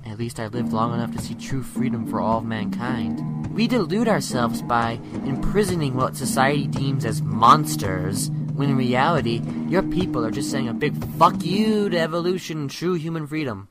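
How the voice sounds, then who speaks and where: A man playing a calm serial killer under loud music. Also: medium pitch, natural voice. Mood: zealous, defiant. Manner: measured, philosophizing, unrepentant. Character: calm, remorseless male serial killer, self-justifying philosopher. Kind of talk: dramatic radio play acting.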